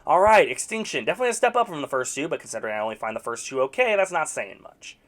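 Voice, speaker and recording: A man's voice: fairly high pitch, sing-song, very fast, a bit bright. A man reviewing video game movies in a scripted, casual voice-over, wry and sarcastic with mock-exasperated outbursts.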